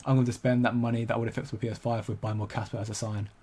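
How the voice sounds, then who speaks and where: A man's voice: medium pitch, flat delivery, racing, dark tone. A young man hosting a casual crypto livestream, rambling and hesitant with frequent restarts while he reads out viewer comments. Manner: rambling, hesitant, many restarts, laughs often.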